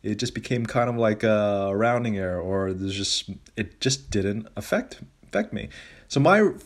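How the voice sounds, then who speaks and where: Low-voiced man, casual podcast host. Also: expressive, normal speed. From grew up in Asia and Zambia, living in the United States. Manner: conversational, emphatic advice-giving with colloquial asides. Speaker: personal finance podcast host. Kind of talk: casual solo podcast talk.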